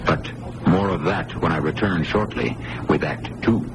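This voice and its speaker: A man hosting and narrating a radio mystery in a measured, dramatic storytelling style. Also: deep voice, heavy background noise. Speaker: host and narrator. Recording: old radio broadcast with music.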